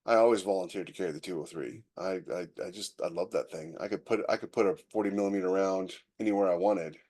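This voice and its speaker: A man's voice: deep voice, fast, a little mellow. A man co-hosting a podcast in a casual, conversational way, freely giving his opinions. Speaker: podcast co-host.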